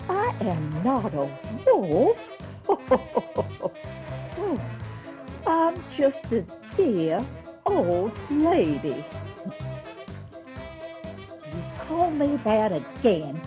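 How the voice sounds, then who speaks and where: A woman playing a slow, cranky old lady. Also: fairly high pitch, huge pitch swings, music in the background, exaggerated voice. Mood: indignant. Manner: slow, drawling, threatening. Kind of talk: amateur radio play acting.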